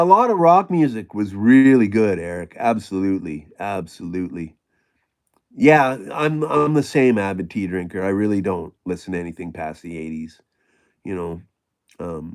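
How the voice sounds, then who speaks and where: A middle-aged man with a gravelly voice, hosting a casual livestream and chatting with viewers in a rambling way full of fillers and restarts. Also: deep voice, a little mellow. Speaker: livestream host reading chat.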